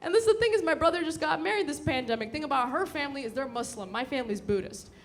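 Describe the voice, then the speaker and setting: A woman's voice: sits high, lively intonation, rapid-fire. A young American woman performing stand-up comedy in a casual, slangy, profane way, acting out bits of dialogue. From grew up in San Diego, USA. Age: young adult. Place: live comedy show before an audience. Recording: live show with loud audience noise.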